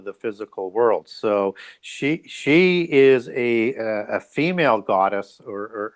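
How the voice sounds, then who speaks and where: A man speaking as an interview guest, explaining his views earnestly and discursively, often checking in with small tags. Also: medium pitch.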